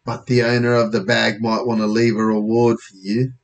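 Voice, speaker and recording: A man's voice: fairly low pitch, completely flat delivery, moderate pace, natural voice. A man voicing a stern, suspicious policeman in a story, with a probing, official manner.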